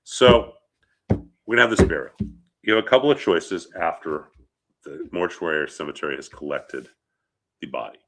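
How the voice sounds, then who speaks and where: A man's voice: low voice, sing-song, moderate pace, long pauses, fairly bright tone. A man with a head cold, sniffling a little, teaching as a rabbi in an informal, conversational lecture and often checking in with short questions. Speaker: rabbi teaching about funeral customs.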